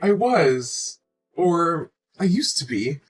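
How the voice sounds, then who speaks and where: A woman's voice: lowish voice, sing-song, slow pace, soft background music. A woman in her twenties playing a hurt, pleading young woman who has just learned she is pregnant, emotional and reproachful. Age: 20s. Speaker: pregnant girlfriend. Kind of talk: scripted relationship drama.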